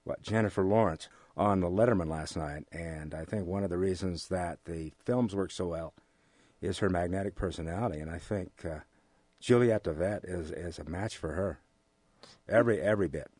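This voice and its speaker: An American man being interviewed, speaking in a halting, measured way with formal, academic wording. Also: low voice, darkish tone, dry recording. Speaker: interview guest, amateur radio drama actor. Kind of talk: relaxed interview conversation.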